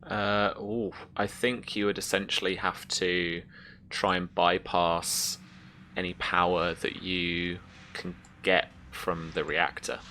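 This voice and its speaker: A game master improvising narration and rulings for a tabletop roleplaying game, with a halting delivery. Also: low-pitched, flat delivery, sharp tone, slight background noise.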